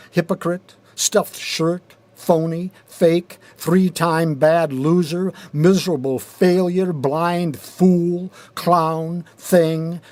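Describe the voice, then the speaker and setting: A man reading his own poetry aloud in a deliberate, rhythmic, dramatic spoken-word style, recorded in a studio. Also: a little high, a little mellow. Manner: deliberate, measured, rhythmic delivery with pauses. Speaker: poet reading own work.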